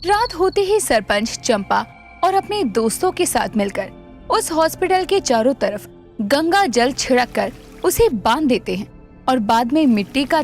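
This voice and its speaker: A woman reads a scripted, dramatic story as narrator. Her voice is high and very animated in pitch, set over background music. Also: vocal fry.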